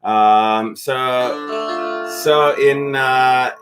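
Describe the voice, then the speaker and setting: A man's voice: medium pitch, steady tone, extremely slow, over music. A man in his thirties co-hosting a casual drinking livestream, joking and rambling, often trailing off into mumbles. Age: 30s. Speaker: musician co-host of a drinking stream. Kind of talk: casual drunken livestream banter.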